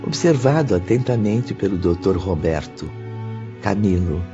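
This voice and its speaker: A man's voice: sits low, animated, unhurried, highs cut off. A man narrating an audio drama in a formal, scripted style. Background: music.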